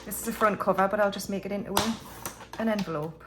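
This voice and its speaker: A woman in her forties with a British accent talks in a loose, rambling way, often restarting her sentences. Her pitch sits in a mid range, and soft music plays faintly underneath. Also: fast. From United Kingdom. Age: 40s. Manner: chatty and rambling, with frequent restarts and fillers. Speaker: budgeting vlogger. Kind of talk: casual chat while stuffing cash envelopes.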